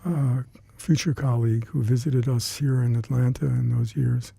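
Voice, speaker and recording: An older man with Hungarian as his first language, recalling past work in an oral history interview, halting and reflective with many fillers and restarts. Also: medium pitch, steady tone, vocal fry, dark tone, breathy edge, no room sound. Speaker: interviewee, retired scientist.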